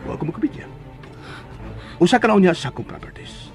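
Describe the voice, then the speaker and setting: An actor playing a smug, possessive hacienda heir in a Filipino radio drama, domineering and full of drawn-out taunts. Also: male voice, high voice, big pitch swings. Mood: smug, threatening. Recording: music bed behind.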